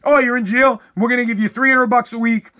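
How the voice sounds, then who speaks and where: Heated man ranting, high voice. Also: steady tone, fairly quick, pushed and bright. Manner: heated, blunt ranting with profanity. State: losing his voice. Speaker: podcast host. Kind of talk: ranting solo podcast.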